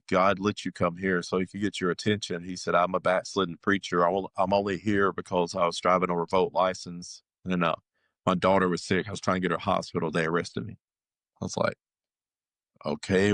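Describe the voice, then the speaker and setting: A man giving a casual personal testimony as a guest, halting and conversational, often relaying dialogue as he tells it. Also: deep voice, a bit bright, no room sound. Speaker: guest telling his life story.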